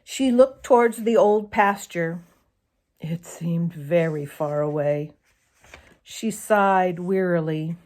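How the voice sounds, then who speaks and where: A woman reading a children's animal story aloud as narrator, in an expressive storybook style. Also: fairly low pitch, slow pace.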